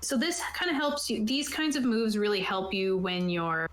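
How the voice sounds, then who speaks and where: A woman's voice: medium pitch, animated, normal speed, a bit bright, echoey room. A woman prepping a tabletop game online, reading rules aloud and explaining them in a chatty, casual way. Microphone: own microphone on an online voice call.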